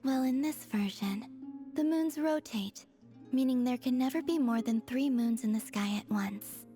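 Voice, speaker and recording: A woman as a serene, high, mellow moon goddess. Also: natural voice. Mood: gently instructive. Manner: soft-spoken, composed, unhurried. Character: serene, gentle, otherworldly young moon goddess. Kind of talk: animated video game voice acting. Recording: faint music behind the voice. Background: faint game music.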